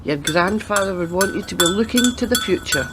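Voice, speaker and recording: A woman's voice: medium pitch, lively intonation, brisk, heavy background noise, natural voice. An actress playing a chatty, doting and cheeky old grandmother in a radio play, fussing and teasing as she talks. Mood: earnest, advising.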